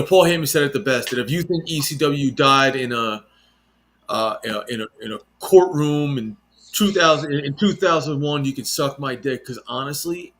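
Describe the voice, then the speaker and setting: A man's voice: medium pitch, fairly bright tone. A man in his late thirties chatting casually, laid-back and rambling with lots of fillers. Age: 30s. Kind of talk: casual chat.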